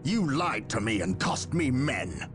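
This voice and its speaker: A man's voice: mid-range voice, very animated, measured pace, over music. An actor playing a grim, guilt-haunted male warrior captain who talks in an intense, anguished and confrontational way, in a video game cutscene. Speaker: military captain. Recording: voice over orchestral game score.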